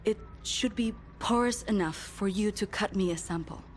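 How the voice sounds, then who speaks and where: Actress as woman researcher in a game, careful n hesitant, medium pitch, bit of room noise. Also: moderate pace, natural voice. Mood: focused. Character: studious, soft-spoken resistance researcher woman, methodical. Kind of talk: dramatic video game acting.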